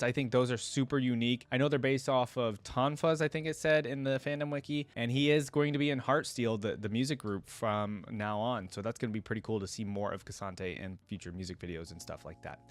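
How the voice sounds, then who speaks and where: A man's voice: medium pitch, no room sound. A man hosting a reaction video, giving casual, rambling conversational commentary.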